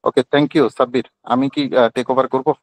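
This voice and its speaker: A man's voice: mid-range voice, steady tone, normal speed, dry recording. A man with a Bangladeshi accent teaching informally on an online call, explaining step by step with examples. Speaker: instructor.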